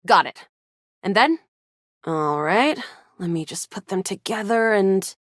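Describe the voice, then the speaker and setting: A woman voicing a feisty, excitable young resistance fighter in a sci-fi video game, with a dramatic, playful delivery. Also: medium pitch, a little slow, no echo, studio quality. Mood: confident, chatty. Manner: animated, dramatic, playful banter. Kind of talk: video game character voice acting.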